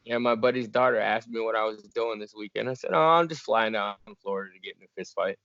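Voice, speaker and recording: A man's voice: lowish voice, quick pace, no echo. A young man from Virginia, a fighter talking in a casual, easygoing way on a video call interview. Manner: relaxed, easygoing, laughs often. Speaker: bare-knuckle fighter, guest. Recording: muffled dry call audio.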